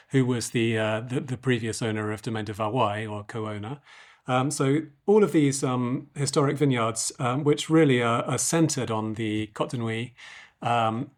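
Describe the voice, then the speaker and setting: A man with a slightly croaky voice talks through wine tastings on a podcast. His delivery is casual and unhurried, sitting at a middle pitch and peppered with ums as he gathers his thoughts. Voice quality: hesitant, frequent ums. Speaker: wine merchant podcast co-host. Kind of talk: casual expert discussion.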